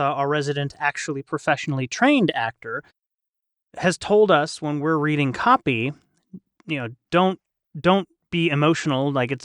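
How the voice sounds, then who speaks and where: Chatty man with a lighter-pitched voice. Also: a bit bright, no echo, studio quality. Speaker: podcast host and voice actor. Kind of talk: casual panel discussion.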